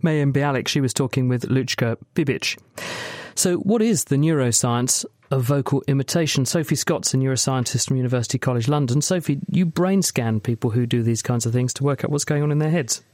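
A man hosting a science show, reading smooth scripted links and putting questions to a guest. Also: mid-range voice, brisk, a little mellow, no echo, clean studio sound. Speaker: radio science show host. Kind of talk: scripted presenting and interviewing.

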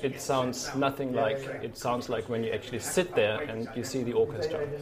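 A man, a sound engineer, explains his work informally and haltingly, thinking aloud as he goes. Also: medium pitch, loud music behind the voice. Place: large town hall concert venue. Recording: loud orchestra practice behind the voice. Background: orchestra musicians practising.

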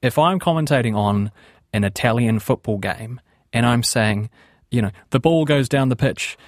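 A man's voice: mid-range voice, normal speed, no room sound, clean studio sound. A man with a New Zealand accent interviewing a guest in a conversational way, thinking aloud as he asks. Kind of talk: radio interview.

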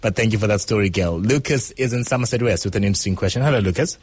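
A man's voice: on the low side, racing, background noise. A man hosting a radio call-in show, friendly and joking with callers. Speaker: radio host.

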